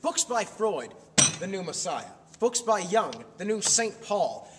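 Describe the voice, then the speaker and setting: A man's voice: sits high, big pitch swings, unhurried. An exasperated, sardonic husband played by a man mocks theatrically, his voice swinging widely up and down. The amateur stage performance is caught by a distant mic in a noisy, reverberant hall. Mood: loud mocking. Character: sarcastic, exasperated husband mocking his wife's fad. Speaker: amateur actor. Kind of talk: amateur stage-play rehearsal.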